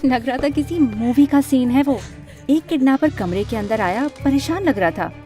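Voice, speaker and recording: A woman narrating a scripted first-person animated story in a dramatic storytelling manner. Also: high voice, normal speed. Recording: music under the voice.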